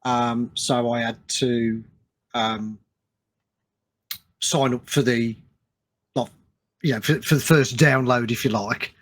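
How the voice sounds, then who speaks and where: A man hosting a casual interview podcast, talking haltingly and repeating his words as he goes. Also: mid-range voice, fairly even intonation, fairly bright tone. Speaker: podcast host.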